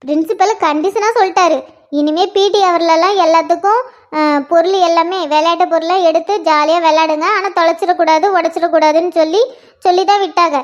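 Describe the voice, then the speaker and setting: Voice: extremely high pitch, fairly even intonation, a little fast. A performer voicing a chatty schoolgirl eagerly telling her sister about school, on a dull lo-fi recording. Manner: eager storytelling. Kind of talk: one-person comedy skit.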